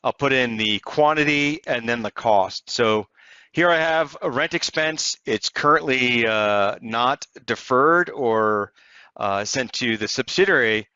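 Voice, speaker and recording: Bright, clear-voiced man demonstrating software. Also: mid-range voice, a little slow, no echo, muffled recording. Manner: explanatory, conversational walk-through. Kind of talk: step-by-step software tutorial narration.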